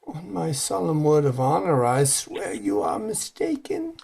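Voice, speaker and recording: A man acting as a grave clergyman in an old-time radio horror play. He speaks slowly and solemnly, full of fearful, melodramatic warning. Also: a little high, huge pitch swings, vocal fry, darkish tone, husky, heavily acted. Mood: pleading.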